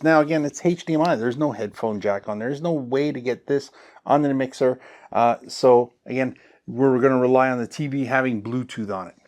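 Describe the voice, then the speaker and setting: A man hosting a tech how-to video, explaining things casually in a chatty, plain-spoken way with folksy turns of phrase. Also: medium pitch. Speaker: tech tutorial host.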